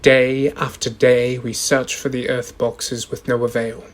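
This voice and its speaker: A young man in a radio drama playing a solemn advocate reading a logbook aloud, in a deliberate, ominous dramatic style. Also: medium pitch, little pitch movement, slight background noise, close to an everyday voice. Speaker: actor in a school radio drama.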